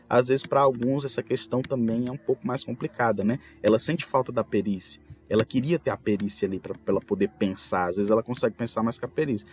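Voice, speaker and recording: A man with a Brazilian accent talks casually as a podcast host, telling gaming anecdotes in an animated, storytelling way. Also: medium pitch. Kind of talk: casual anecdotal talk about tabletop role-playing. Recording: music bed behind the voice. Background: background music.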